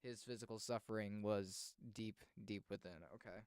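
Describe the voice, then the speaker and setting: A man streaming casual reactions and commentary, expressive and thinking aloud as he goes. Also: fairly low pitch, moderate pace, clean tone, dry recording.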